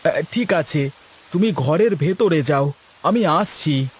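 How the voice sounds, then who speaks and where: Cartoon village husband, acted by a man: helpful and earnest, higher male register, noisy rainy track. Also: animated, slow. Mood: busy, concerned. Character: kindly, practical village husband, helpful to his neighbours. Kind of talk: Bengali moral-story cartoon dialogue.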